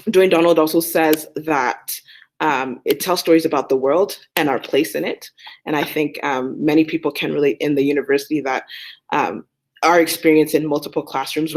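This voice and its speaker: A young woman whose first language is French, giving an earnest open mic talk with many pauses and fillers. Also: sits low. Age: young adult. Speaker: student speaker.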